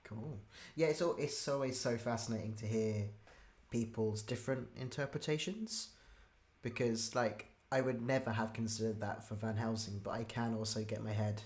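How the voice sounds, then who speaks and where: A woman's voice: rumbling low, steady tone, normal speed, a little mellow. A woman interviewer chatting casually and enthusiastically, restarting her thoughts as she goes.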